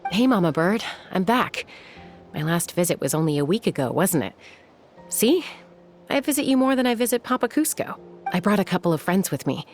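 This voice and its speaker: An actress playing a self-assured young woman in a video game, casual and composed, with a slightly gravelly voice. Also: on the low side, lively intonation, brisk, a little mellow, faint music behind, lightly acted. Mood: amused, affectionate. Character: self-assured young woman raised among wild birds.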